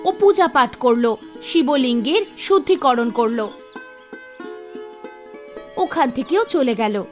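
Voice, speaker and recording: A woman's voice: high-pitched, animated, takes it slowly. A woman reading scripted narration for a devotional cartoon story. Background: background music.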